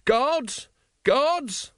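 A man's voice: sits high, animated, a little slow, dry recording, studio quality, voice pushed up. A man voicing a triumphant male usurper in a storybook, gloating and threatening. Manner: gloating, screaming, hissing threats. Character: triumphant, shrieking villain, a male usurper secretary. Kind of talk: audiobook character acting.

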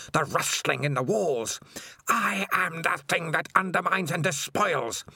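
A man voicing a shrill, hissing rat demon. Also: gravelly, sharp tone, husky, no room sound, heavily acted. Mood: ranting. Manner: shrill, hissing, raging then whispering. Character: menacing ratlike dark spirit, male, ruthless. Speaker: audiobook reader. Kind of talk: audiobook character voice.

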